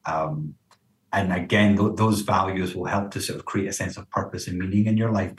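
A man speaking as a counsellor being interviewed, explaining in a conversational way and often checking in with the listener. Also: lowish voice. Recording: roomy echo, distant from mic.

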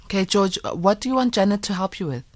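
Female call-in host, brisk and efficient, dry studio mic. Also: medium pitch, lively intonation, dry recording. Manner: brisk, moves callers along. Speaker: radio show host. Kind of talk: hosting a call-in show.

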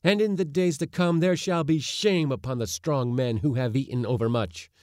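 A man's voice: mid-range voice, moderate pace, a little mellow, no echo, studio quality, voice pushed up, lightly acted. A man voicing a proud, defiant boy who declaims formally before a council. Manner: declaiming, formal old-fashioned speech.

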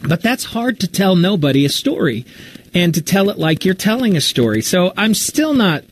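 A man's voice: sits high, big pitch swings, normal speed, slight background noise. A man answering questions as a podcast storyteller in a casual live interview, candid and reflective as he thinks aloud. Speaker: writer and storyteller of a fiction podcast, being interviewed.

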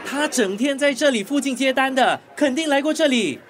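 A man's voice: very high voice, normal speed, a bit bright, faint music behind, some chatter behind. A man playing a frantic husband in a radio drama, pleading insistently and desperately. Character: frantic, pleading husband searching for missing wife.

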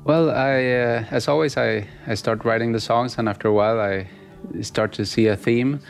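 A Swedish man, a musician guest whose first language is Swedish, answering in a halting casual interview with many fillers and restarts. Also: medium pitch, steady tone, vocal fry, soft background music. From Sweden.

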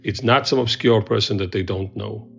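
A man's voice: on the low side, moderate pace, vocal fry, slightly rough, soft background music. A man giving conversational, explanatory analysis as an expert in an interview. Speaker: expert commentator. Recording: dull, lo-fi interview audio.

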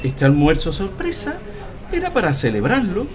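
A man's voice: high-pitched, huge pitch swings, normal speed. A middle-aged Andalusian family man in audio fiction. He explains and teases with sly pride in colloquial speech. His intonation moves widely up and down. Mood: explaining.

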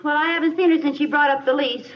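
A woman's voice: sits high, fairly even intonation, quick pace, far from the mic, soft background music, natural voice. A woman playing a stubborn, wounded housewife in a comic feud on a 1950s radio sitcom. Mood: brisk, resigned. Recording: noisy, dull 1950s radio recording.

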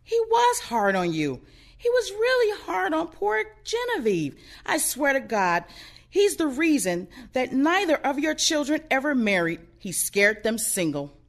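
A woman playing a blunt, sardonic, protective older woman in an audio play, outspoken and expressive. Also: sits high, measured pace, exaggerated voice. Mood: bitter, blunt.

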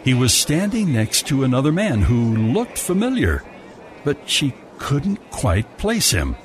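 A man's voice: medium pitch, huge pitch swings, measured pace. A man narrating a scripted holiday story as a storyteller. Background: music.

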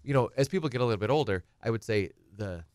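A man's voice: lowish voice, lively intonation, fast talker, a little mellow, no echo. A middle-aged man, a guest attorney explaining estate planning in a rambling, conversational way and acting out clients' questions. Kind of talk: conversational talk show explanation.

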